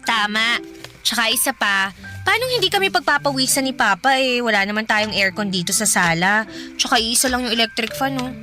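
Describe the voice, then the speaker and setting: A woman's voice: fairly high pitch, lively intonation, a little fast, pushed and bright, soft background music. A woman acting as a cheerful, polite young elder sister and daughter in a radio drama, earnest and upbeat. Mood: upbeat, teasing.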